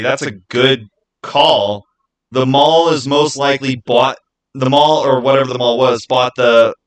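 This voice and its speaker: A man's voice: medium pitch, fairly bright tone, poor audio. A man hosting a live stream, talking casually with viewers in an emphatic, insistent way and often repeating his phrases. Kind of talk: casual live chat with viewers, arguing game theories.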